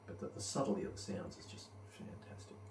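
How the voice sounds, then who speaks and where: A man giving a casual, rambling commentary on gear and gameplay, hesitant and thinking aloud. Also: fast talker. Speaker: headphone reviewer and gamer. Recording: roomy, distant mic with faint background noise.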